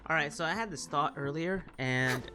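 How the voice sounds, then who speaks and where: A man's voice: a little high, moderate pace. A man giving informal walkthrough commentary on a game, thinking aloud as he goes. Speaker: gaming video commentator. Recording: loud game music behind the voice.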